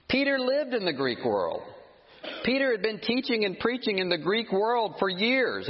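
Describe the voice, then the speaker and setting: A man preaching a sermon, emphatic and animated, scornful of his critics, with frequent restarts. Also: high voice. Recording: muffled sound with long hall reverb.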